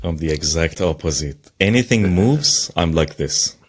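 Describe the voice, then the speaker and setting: A man's voice: low voice, lively intonation, measured pace, husky. A man hosting a podcast, asking conversational questions with an admiring tone. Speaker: podcast host. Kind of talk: interview questions.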